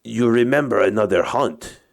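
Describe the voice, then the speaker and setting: A man voicing a tall, bearded, haughty Russian sea captain with a Russian accent, speaking slowly and deliberately in a storybook reading. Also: mid-range voice, vocal fry, no echo, studio quality, pitched above the performer's own voice, natural voice. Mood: condescending.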